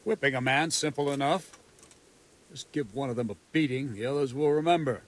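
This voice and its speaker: A man's voice: mid-range voice, brisk, natural voice. A man acting a grumbling, hard-bitten medieval knight in a video game, blunt and scornful. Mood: stern, scornful.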